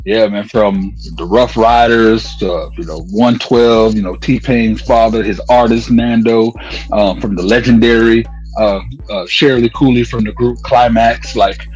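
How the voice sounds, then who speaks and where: A man's voice: lowish voice, fairly even intonation, unhurried, darkish tone, low-quality recording. A man talking casually in an interview, slangy and conversational, telling stories as a radio host. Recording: music playing behind the voice. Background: music.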